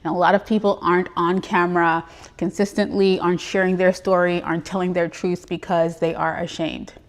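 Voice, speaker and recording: A woman's voice: on the low side, level tone, fairly quick. A woman from Trinidad whose accent is mixed with Brooklyn and Texas, a business coach giving an earnest, chatty motivational talk on a live stream and greeting viewers as she goes.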